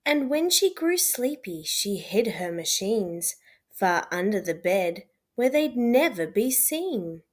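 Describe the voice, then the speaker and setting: A woman's voice: mid-range voice, very animated, takes it slowly. A woman storyteller reading a rhyming children's picture book aloud as scripted narration.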